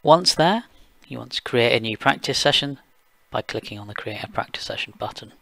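A man from the UK talking through a software screencast step by step, plain and explanatory, with occasional fillers. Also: mid-range voice, expressive, brisk, creaky, dry recording. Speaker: software engineer giving a tutorial. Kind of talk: screencast walkthrough voice-over.

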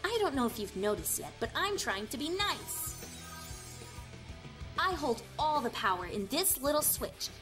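Smug young female pony villain played by a woman, high voice, taunting and theatrical, audio drama over music. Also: sing-song, measured pace. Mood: coolly threatening. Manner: mocking, theatrical. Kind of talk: fan audio drama acting.

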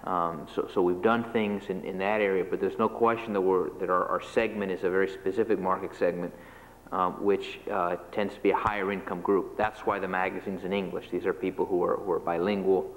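A man in his thirties, from Cuba, answering questions as a panelist in a large lecture hall, speaking in a measured way and often repeating his first words. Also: low voice, little pitch movement, slight background noise. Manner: measured, repeats words while starting phrases. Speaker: panelist, magazine publisher. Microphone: table microphone. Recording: long hall reverb, faint ambience.

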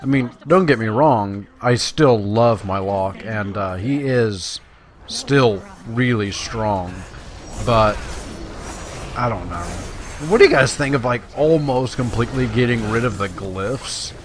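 A man's voice: medium pitch, slow, a little hiss or hum. A man giving casual, folksy gameplay commentary, talking to his viewers. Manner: folksy, conversational, addresses viewers. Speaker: let's play host. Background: video game sounds.

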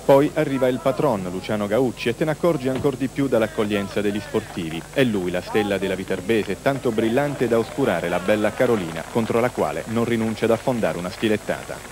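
A man's voice: on the low side, a little fast, hardly any pauses. A man narrating a scripted football report for television. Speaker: sports reporter. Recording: noisy old TV broadcast.

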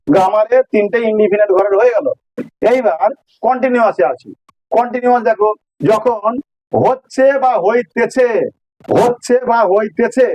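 High-pitched Bengali male teacher. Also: darkish tone, rough recording. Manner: didactic, repeats phrases for emphasis. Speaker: English grammar teacher. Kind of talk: informal grammar lesson, explaining with examples.

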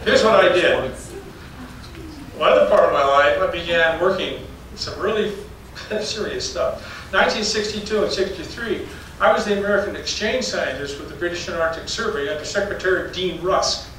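A man's voice: sits high, moderate pace, fairly bright tone. An older man giving a storytelling slide talk as a professor, folksy and anecdotal, chuckling between stories. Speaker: geology professor giving a public lecture. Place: darkened lecture hall with audience. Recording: very echoey hall, distant mic, faint noise.

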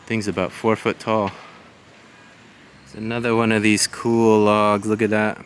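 A man's voice: lowish voice, little pitch movement, unhurried. A man in his forties narrating a casual walking vlog outdoors. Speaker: vlogger. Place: outdoors along a waterfront. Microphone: handheld camera microphone. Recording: windy outdoor camera recording with loud noise. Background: loud wind and outdoor ambience.

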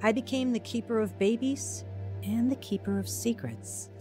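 An actress giving reflective, measured first-person narration of a woman recalling her childhood in a radio drama. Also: a little high, close to an everyday voice. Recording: music bed under the voice.

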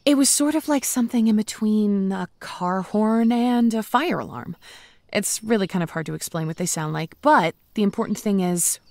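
An actress playing a cheerful, self-aware young zombie, chatting wryly and playfully to the listener in a comedic audio drama monologue. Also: female voice, mid-range voice, normal speed, no echo, close to an everyday voice. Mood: wistful, wry. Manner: wry, playful, conversational asides to the listener.

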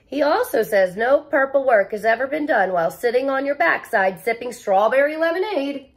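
Woman, thirties, high lively voice, children's storytime read-aloud, expressive and chatty with the kids. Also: normal speed. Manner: expressive, addressing young listeners directly. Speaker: teacher reading aloud. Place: living room at home, indoors.

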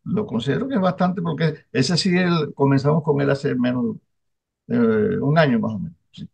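An older man interviewing a guest over a video call, talking in a halting way with many restarts and fillers. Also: fairly high pitch, gravelly, a little mellow, slightly rough. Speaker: interviewer, newspaper publisher. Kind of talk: casual interview chat. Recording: dull lo-fi video call audio.

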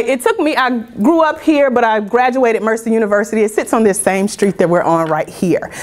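A middle-aged woman speaks in a fairly high voice. She works a small audience as an animated, informal motivational coach, asking questions and chatting with them directly. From Georgia, United States. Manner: upbeat, interactive, animated. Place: indoor meeting room.

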